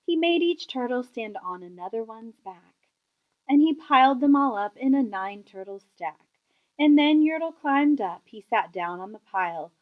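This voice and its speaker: High-pitched, animated woman reading a storybook. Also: narrow, tinny audio. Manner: rhythmic verse delivery. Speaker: storybook reader. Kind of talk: expressive children's storybook narration.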